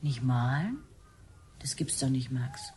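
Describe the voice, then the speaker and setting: A woman's voice: a bass voice, lively intonation, slow, darkish tone, slight background noise, full character voice. An actress playing a bold, insistent young woman in a radio drama, coaxing and exclaiming with open emotion. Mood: coaxing, disappointed. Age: young adult.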